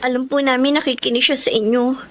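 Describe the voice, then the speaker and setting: An actress playing an earnest, worried young student daughter in a Filipino radio drama, explaining things carefully. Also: female voice, high-pitched, level tone, fast, fairly bright tone, soft background music. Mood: concerned.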